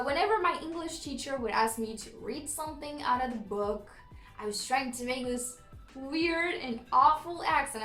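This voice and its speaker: High-voiced Romanian-accented woman, echoey. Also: fairly bright tone. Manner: chatty, laughs often, frequent ums. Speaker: YouTuber. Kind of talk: casual storytime vlog.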